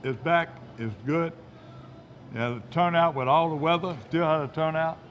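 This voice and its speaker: A man's voice: fairly high pitch, moderate pace, highs cut off, slight background noise. A man hosting a fishing show, chatting in a folksy, rambling way. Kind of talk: casual chat.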